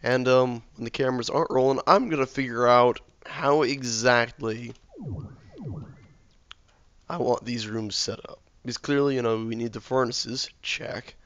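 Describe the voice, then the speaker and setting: A man with a sore throat and a slightly hoarse voice, casually commentating his game while he builds, mumbling and thinking aloud to himself. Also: mid-range voice, steady tone, unhurried, a bit bright, dull audio, a little hiss or hum. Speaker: let's play gamer.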